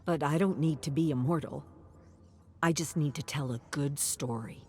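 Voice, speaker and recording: A woman's voice: low voice, moderate pace, slight background noise, voice pushed down, close to an everyday voice. A woman voicing a frustrated, self-doubting woman writer, exasperated and introspective, in a dramatic audio story. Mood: quiet, searching.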